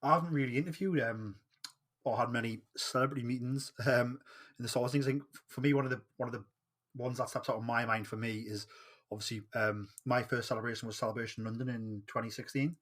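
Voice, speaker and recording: A man's voice: medium pitch, steady tone, quick pace, a bit bright. A man with a Geordie accent hosting a fan podcast in casual, upbeat chat, with frequent fillers and restarts. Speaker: podcast host.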